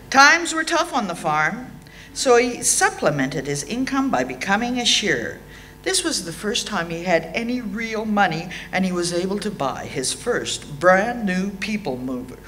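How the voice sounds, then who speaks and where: An Australian woman in her sixties reads a prepared eulogy with measured, dryly humorous delivery. Her pitch moves up and down in a lively way. The recording is made in a hall, with a faint hum and some noise behind her. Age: 60s. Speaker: eulogy reader at a memorial. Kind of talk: reading a prepared eulogy aloud. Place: indoor hall.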